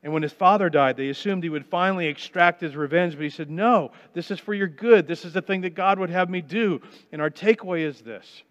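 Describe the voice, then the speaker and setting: Man preaching in a reverberant hall. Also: high voice. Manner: storytelling retelling of scripture, dramatic pauses, swings between loud and hushed. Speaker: pastor. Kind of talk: sermon. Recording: muffled sound with long reverb in a large hall.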